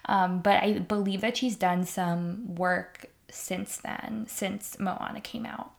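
Casual podcast co-host, woman, mid pitch with some fry, easygoing chatter. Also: steady tone, slow. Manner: chatty, many ums, laughs lightly. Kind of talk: casual movie podcast chat.

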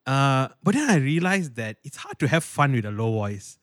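A man with a baritone voice hosting a podcast, chatting casually in a playful, self-deprecating way. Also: sing-song, normal speed, no echo, studio quality. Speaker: podcast host.

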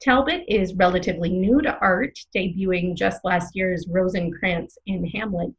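A woman playing an excitable, opinionated small-town arts radio co-host, theatrical and playfully dramatic in scripted comic banter. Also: on the low side, normal speed, highs cut off, close to an everyday voice. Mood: scornful.

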